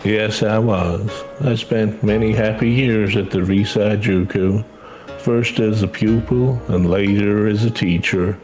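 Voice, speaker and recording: A man reading game dialogue as an earnest older schoolteacher, in a measured, formal storytelling manner. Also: fairly low pitch, fairly even intonation, a little mellow, lightly acted. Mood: solemn, proud. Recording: music under the voice.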